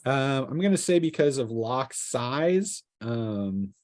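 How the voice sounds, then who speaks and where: Male game master, medium pitch, improvised tabletop narration, halting delivery with frequent restarts. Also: expressive, darkish tone. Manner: halting, restarting descriptions mid-sentence.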